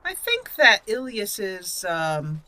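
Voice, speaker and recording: A woman's voice: medium pitch, sing-song, slow, pushed and bright. A woman narrating and roleplaying her way through a fantasy video game as a channel host. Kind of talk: roleplay game playthrough commentary. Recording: clear background noise. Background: game ambience.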